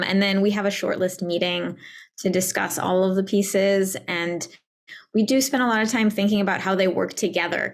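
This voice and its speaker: A woman's voice: fairly low pitch, gravelly. A woman speaking as an editor, explaining a process in an unscripted, conversational way. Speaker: prose editor.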